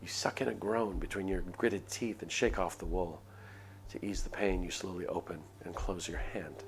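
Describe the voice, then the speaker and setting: A man's voice: low voice, steady tone, moderate pace, a little hiss or hum. A man reading a visual novel aloud on a stream, narrating the story expressively. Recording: stream with faint background ambience.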